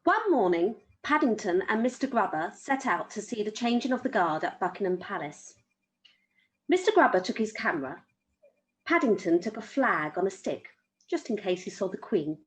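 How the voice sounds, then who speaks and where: A woman's voice: medium pitch, rough recording. A woman reading a children's story aloud as an amateur storyteller.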